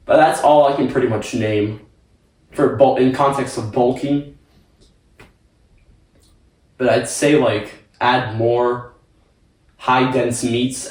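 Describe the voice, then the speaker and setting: A young man answering viewer questions in a casual vlog, rambling and repeating himself with casual swearing. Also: medium pitch. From United States. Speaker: fitness vlogger. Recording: echoey room, mic at a distance.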